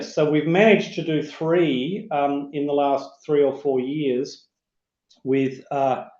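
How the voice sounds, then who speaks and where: A man who is getting over a cold, sounding croaky, presents an economic outlook on a video call with many fillers and restarts. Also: fairly high pitch, darkish tone. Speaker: chief economist, webinar speaker. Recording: roomy, dull remote webinar audio.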